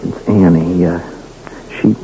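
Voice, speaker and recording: An actor playing a hesitant, earnest, folksy cowhand in a radio Western, stammering and creaky. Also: male voice, deep voice, steady tone. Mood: sheepish, earnest. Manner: halting, stammering, folksy grammar. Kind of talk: 1970s radio Western acting. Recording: muffled, noisy vintage radio recording.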